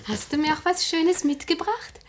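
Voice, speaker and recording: An actress playing an eager, devoted fourteen-year-old daughter in a radio play, gentle and girlish. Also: very high-pitched, expressive, normal speed, noisy background.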